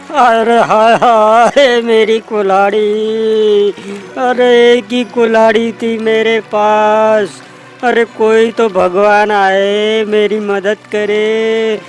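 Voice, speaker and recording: A man's voice: high voice, flat delivery, extremely slow. A man playing a greedy, scheming villager in a dramatized folktale, with a whining, overdone manner. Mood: fake wailing. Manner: whining, wailing, over-eager. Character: greedy, scheming male villager faking loud grief. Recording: faint music behind the voice.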